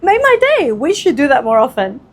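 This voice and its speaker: A woman from Europe gushes in a casual vlog, her voice sitting very high and swooping all over. She sounds bubbly and giggly as she talks. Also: very animated, a little fast, slight background noise.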